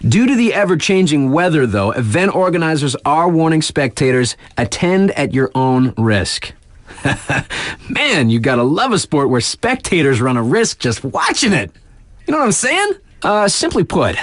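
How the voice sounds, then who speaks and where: An actor playing a hip, easygoing radio DJ in a video game, giving casual mountain event updates with wry, colloquial asides. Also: male voice, a little high, big pitch swings, a little slow, no room sound. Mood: hyped, casual. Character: laid-back hip radio DJ for a snowboard mountain.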